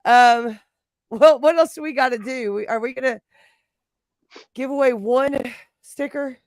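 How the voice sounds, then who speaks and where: A woman's voice: high voice, measured pace, no room sound. A woman co-hosting a live stream, chatting loosely and swearing freely. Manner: laughs a lot, loose and profane. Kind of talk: casual live-stream chat.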